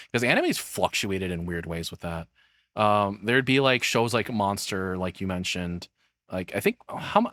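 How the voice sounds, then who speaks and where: A man's voice: medium pitch, fairly bright tone, no echo, studio quality. A man streaming casual reaction commentary, rambling as he thinks aloud and swearing casually.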